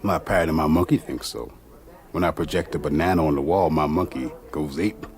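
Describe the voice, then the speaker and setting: An actor playing a laid-back, dreamy, eccentric mystic in a radio drama, talking in a folksy, musing way. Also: male voice, a bass voice, brisk, darkish tone. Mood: amused, musing.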